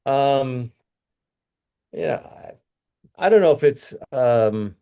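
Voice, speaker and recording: A man's voice: medium pitch, animated, drawn-out words, gravelly, warm tone, clear, resonant tone. A middle-aged man, a company executive, giving earnest, rambling interview answers full of restarts and fillers.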